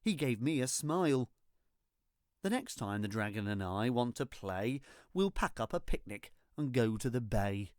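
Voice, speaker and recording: A storyteller reading a rhyming children's storybook in an expressive, theatrical and deliberate way. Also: medium pitch, no room sound, studio quality. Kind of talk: scripted storybook narration in rhyme.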